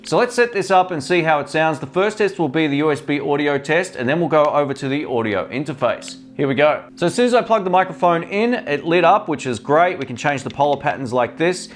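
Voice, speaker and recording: A man talks through a product review in a relaxed, enthusiastic way. He speaks into a tabletop condenser microphone in a room at home, explaining each step as he goes. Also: medium pitch. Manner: speaks at varying loudness for gain tests. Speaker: tech reviewer. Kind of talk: casual product review to camera. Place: home room with a fridge nearby.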